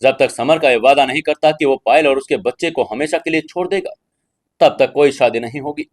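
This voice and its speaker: A man's voice: mid-range voice, fairly quick, no echo, clean studio sound, natural voice. Man voicing a wounded, stubborn young bride-to-be. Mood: resolute. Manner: soft-spoken, resentful. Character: hurt, angry young bride-to-be, stubborn and proud.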